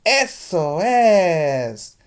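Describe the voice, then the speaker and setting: A man voicing an excited, eager young girl in a storybook read-aloud. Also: sits high, extremely slow, clean tone, pitched above the performer's own voice, heavily acted.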